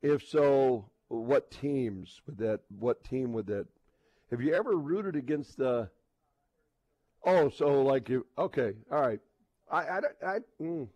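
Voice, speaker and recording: A man hosting a casual sports radio talk show, speaking in a halting, repetitive way and often restarting his sentences. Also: medium pitch, expressive, darkish tone, no room sound, low-quality recording.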